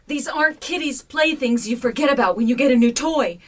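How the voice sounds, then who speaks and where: A woman's voice: high-pitched, animated, fairly quick, slight background noise. An actress playing an exasperated female restaurant partner in a radio drama, sarcastic and accusing.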